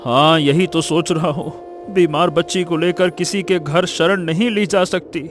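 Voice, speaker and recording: Man acting a high-voiced, humble village father. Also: fairly even intonation, measured pace, slight background noise, natural voice. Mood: worried. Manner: pleading, earnest, repeating himself. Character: poor, desperate, humble village father, protective of family. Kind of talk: Hindi cartoon story dialogue.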